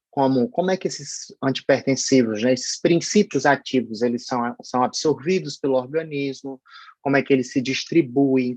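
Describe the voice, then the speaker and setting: A man's voice: medium pitch, no echo. Man with a Paraíba accent lecturing online. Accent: Northeastern Brazilian, Paraíba. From Paraíba, Northeast Brazil. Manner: hesitant, many fillers and restarts, explanatory. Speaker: pharmacology lecturer.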